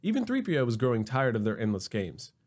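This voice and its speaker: A man narrating a scripted comedic sci-fi story in an expressive, wry manner. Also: medium pitch, quick pace.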